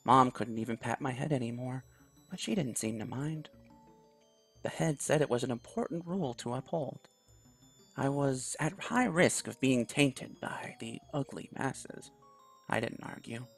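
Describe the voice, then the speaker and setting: A man quietly reading a visual novel aloud in first person, soft-spoken. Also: mid-range voice, a little slow, vocal fry, husky, faint music behind. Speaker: video game YouTuber.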